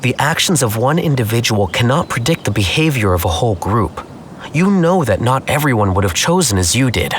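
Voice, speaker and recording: An actor playing a calm, stoic scholar in a video game, with a measured, formal, deadpan manner. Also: male voice, mid-range voice, slight background noise, lightly acted. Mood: calm, matter-of-fact. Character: calm, stoic, dryly teasing male scholar. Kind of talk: scripted video game dialogue.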